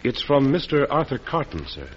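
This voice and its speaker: An actor playing a polite, deferential young messenger in an old-time radio drama. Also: male voice, medium pitch, sing-song, moderate pace, lightly acted. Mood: respectful. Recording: dull, noisy vintage radio recording.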